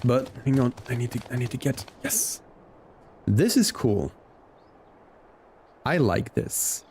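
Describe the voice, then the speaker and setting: A man's voice: mid-range voice, sing-song, takes it slowly, a little mellow, slight background noise. A man livestreaming a video game, chatting in an easygoing way and reacting aloud to the game and his viewers. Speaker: game streamer. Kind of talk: casual livestream chat while playing. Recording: music behind the voice. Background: game music.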